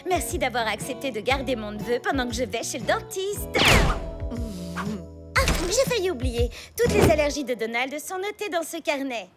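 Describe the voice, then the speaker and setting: A woman playing a polite, fussy aunt in a cartoon, courteous and brisk. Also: high-pitched, sing-song. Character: polite, fussy aunt dropping off her nephew. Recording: loud cartoon music behind.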